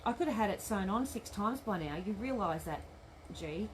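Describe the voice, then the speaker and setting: A woman's voice: mid-range voice, animated, moderate pace, noisy background. A woman hosting a live craft demonstration from home, chatting and muttering to herself as she sews and answering viewer comments. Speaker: craft shop owner demonstrating sewing. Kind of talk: live-streamed craft demo chat. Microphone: tablet streaming live.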